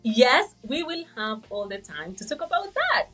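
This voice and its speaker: A woman's voice: a little high, very animated, normal speed, pushed and bright, slightly rough, soft background music. A woman hosting a podcast, asking questions in an enthusiastic, encouraging way. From Kenya. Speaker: podcast host. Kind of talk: podcast interviewing.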